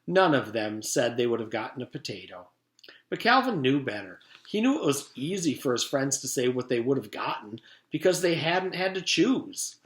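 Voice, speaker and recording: Animated man reading a storybook aloud. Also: mid-range voice, moderate pace. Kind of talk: expressive storybook narration. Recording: roomy echo.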